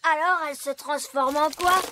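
A woman voicing a friendly, inquisitive young elf boy in an animated series. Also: high voice, normal speed, very noisy, close to an everyday voice.

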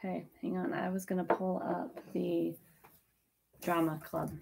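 Husky-voiced woman hosting a livestream auction. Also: on the low side, level tone, a little slow, darkish tone, poor audio. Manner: chatty, giggly, checking messages while talking. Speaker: auction co-host. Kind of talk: casual livestream auction chat. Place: indoors, small room with tiki decor.

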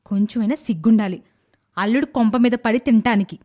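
A woman's voice: on the high side, a little fast, darkish tone, close to an everyday voice. A woman voicing an arrogant, spoiled, short-tempered young daughter-in-law in a dramatised moral story, with a scolding, haughty manner. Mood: angry, scornful.

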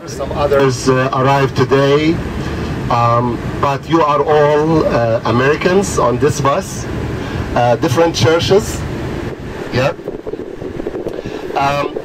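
A man's voice: medium pitch, takes it slowly, long pauses. A middle-aged man, guiding a bus tour in a fervent, preacher-like way with repeated rhetorical questions. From Greece. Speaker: tour guide. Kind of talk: guided tour commentary. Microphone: handheld mic, heard from camera a few rows back. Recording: distant voice over loud bus noise.

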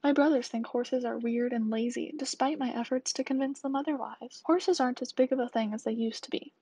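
A woman's voice: fairly high pitch, fairly even intonation, a little fast, dark tone, breathy edge, no echo. A young woman student telling a scripted first-person story as a stable groom from long ago.

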